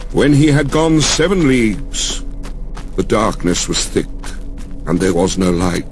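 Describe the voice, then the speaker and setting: A man narrates an epic audiobook in a solemn, storytelling way. His pace is unhurried and his pitch moves widely from phrase to phrase. Music plays behind him. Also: big pitch swings. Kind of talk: dramatized scripted narration.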